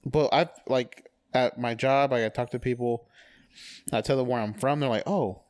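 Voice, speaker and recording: A man's voice: medium pitch, a little mellow. A young man in a casual chat with friends, teasing and egging the others on. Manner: teasing, quick, goading the others.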